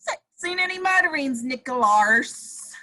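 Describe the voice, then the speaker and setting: A sarcastic, teasing village detective, read by a woman in a high, lively, bright voice. He needles and mocks. The read comes through a video call. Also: a little slow, heavily acted, rough recording. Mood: mocking. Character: mocking male village detective.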